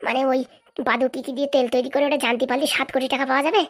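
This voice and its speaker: A woman's voice: high voice, flat delivery, racing. A woman voicing a greedy, domineering village madam with a rural Bengali accent in a comedy cartoon. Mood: wondering, suspicious. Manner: domineering, scolding. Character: bossy, greedy village madam running for election.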